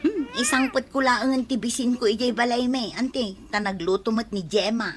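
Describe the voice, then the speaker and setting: A woman's voice: a little high, normal speed, a little hiss or hum, natural voice. An actress playing a generous, trusting woman in an Ilocano radio comedy drama, speaking with an Ilocano accent. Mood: casual. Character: generous, trusting woman who lends money to friends.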